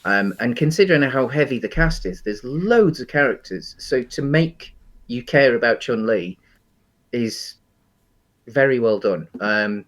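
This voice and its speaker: A man co-hosting a casual film discussion podcast, talking enthusiastically in a hesitant, halting, rambling way. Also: mid-range voice, lively intonation. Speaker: podcast host.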